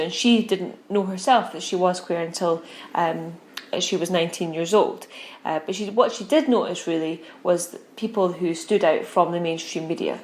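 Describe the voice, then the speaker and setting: A woman presents a podcast as its host and interviewer. Her voice sits a little low, and she speaks in the manner of scripted narration and interview questions.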